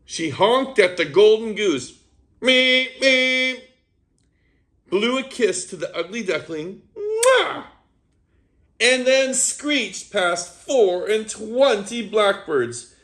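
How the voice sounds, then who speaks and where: A man reads a picture book aloud for young children, telling it as an animated storyteller. His voice sits high and swoops widely, and he keeps an unhurried pace. He stops now and then to talk to his young listeners. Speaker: children's read-aloud host.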